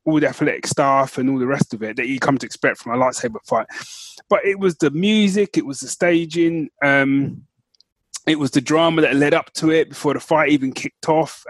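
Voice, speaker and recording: A man's voice: a little high, no room sound. A man co-hosting a podcast, chatting casually as he reviews shows, choosing his words carefully and repeating phrases for emphasis. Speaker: podcast co-host.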